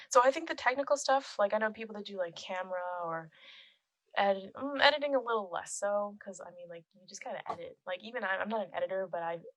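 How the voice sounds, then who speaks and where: A young woman with a naturally soft, quiet voice, talking with a guest's easy chattiness on a close microphone. She laughs often and leans on "like" as she talks. The sound is dry, with no room around it. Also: medium pitch, no room sound, poor audio. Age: young adult. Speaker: guest, film composer and musician. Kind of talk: casual podcast chat. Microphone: close podcast microphone.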